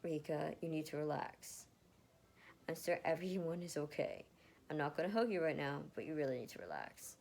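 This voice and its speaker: Woman as a calm, protective young man. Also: low-pitched, normal speed, vocal fry, slight background noise. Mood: reassuring. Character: calm, protective, low-voiced young male warrior.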